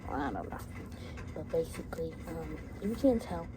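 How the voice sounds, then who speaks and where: A woman's voice: deep voice, sing-song, normal speed. A teenage woman whose first language is French, chatting casually to her phone camera and rambling with frequent restarts. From United States. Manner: rambling, with many restarts and fillers. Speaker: vlogger. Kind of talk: casual chat. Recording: noisy phone recording.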